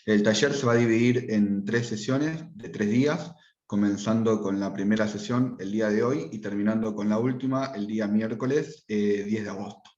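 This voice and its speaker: A man's voice: on the low side, steady tone, moderate pace, a little mellow, echoey room, muffled recording. A man with an Argentine accent starting an online class in an orderly, formal way. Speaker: course instructor.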